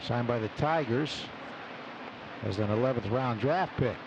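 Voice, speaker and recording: A man calling live baseball play-by-play on the radio in short, clipped phrases packed with stats between pitches. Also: unhurried, dull audio. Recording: noisy live outdoor radio broadcast. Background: loud ballpark ambience.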